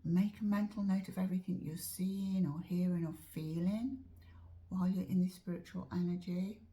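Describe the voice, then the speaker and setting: A woman guiding a meditation in a soft, often whispered voice, slow and drawn-out, a little chesty as she is losing her voice. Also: lowish voice, fairly even intonation. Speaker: meditation teacher. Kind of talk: guided meditation.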